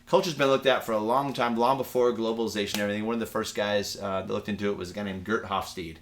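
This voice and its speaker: A man's voice: on the low side, fairly even intonation, fast, slight background noise. A man with an American accent giving a casual, digressive lecture in a conversational, anecdotal way, like a university teacher.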